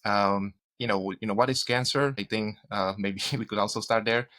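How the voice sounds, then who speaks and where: Bright-voiced man explaining in halting phrases. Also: fairly low pitch, fairly even intonation. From Czech Republic, grew up in Spain. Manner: hesitant, halting technical explanations. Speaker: guest, doctor and cancer researcher. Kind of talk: explanatory interview conversation.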